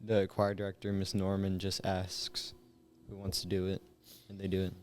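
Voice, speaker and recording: A man's voice: low voice, completely flat delivery, normal speed. A young man in his late teens, a guest chatting casually in a tentative way. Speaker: student guest. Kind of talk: casual chat.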